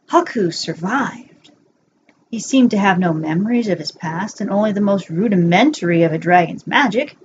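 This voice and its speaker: Woman reading a bedtime story, slightly muffled recording. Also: mid-range voice. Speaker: amateur narrator reading fan fiction aloud. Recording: dull lo-fi home recording, faint noise.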